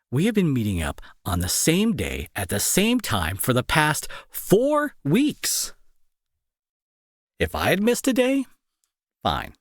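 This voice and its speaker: Audio roleplay: male actor as young bully, exasperated and sarcastic, unhurried pacing, very animated intonation. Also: mid-range voice, no echo, clean studio sound, full character voice. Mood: sarcastic, accusing. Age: young adult. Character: irritable young male bully, gruff but secretly worried. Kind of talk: intimate roleplay audio drama acting.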